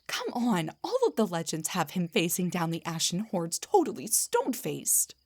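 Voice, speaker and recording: A woman voicing an indignant, defensive half-drow bard in a scripted fantasy roleplay audio, with a breathy, theatrical delivery. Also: lowish voice, huge pitch swings, moderate pace, darkish tone, exaggerated voice. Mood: scornful, incredulous. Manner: theatrical, sarcastic, emphatic, stammers when rattled. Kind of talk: scripted roleplay monologue, one side of a conversation.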